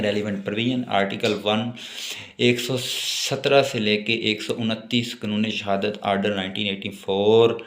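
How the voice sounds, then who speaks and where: Slow-spoken Pakistani man lecturing, echoey room. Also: on the low side, fairly bright tone. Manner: reads English passages slowly and deliberately, then explains informally with repetition. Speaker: law lecturer. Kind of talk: exam-focused lecture, reading English notes and explaining them.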